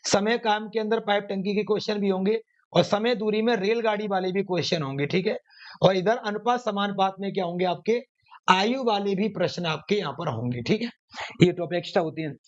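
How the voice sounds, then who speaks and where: High-pitched man, emphatic coaching teacher. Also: a little fast. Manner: emphatic, repeats phrases and asks himself rhetorical questions. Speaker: maths teacher running online exam classes. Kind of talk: exam coaching lecture to students. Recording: dull, lo-fi sound.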